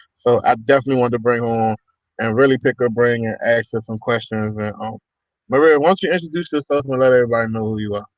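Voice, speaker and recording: A man's voice: medium pitch, no echo. Upbeat male podcast host, dry dull sound. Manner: upbeat, hype-style welcomes with many fillers. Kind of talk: casual livestream podcast chat.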